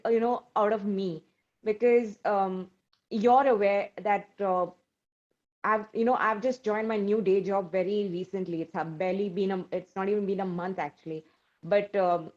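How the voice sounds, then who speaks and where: Woman host, effusive questions, lots of fillers, slightly muffled audio. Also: mid-range voice. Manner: warm, effusive praise with many fillers. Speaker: interviewer host. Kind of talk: interview questions.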